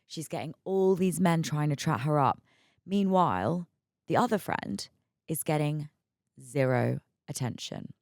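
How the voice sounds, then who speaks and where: A young woman in her mid-twenties hosting a podcast in a raspy, hungover voice that sits very low and crackles with vocal fry. She chats candidly and laughs easily. The sound is dry and close, with no room echo. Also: lively intonation, measured pace, a little mellow. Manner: chatty and candid, laughs often, repeats words. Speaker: podcast host. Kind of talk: casual solo podcast chat.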